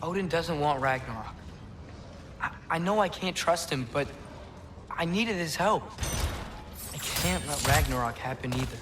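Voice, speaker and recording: A man's voice: on the high side, animated, unhurried, long pauses, noisy background. A young man playing an earnest, secretive teenage boy in a video game, casual and a little hesitant. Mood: earnest, uneasy. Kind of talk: naturalistic video game cutscene acting.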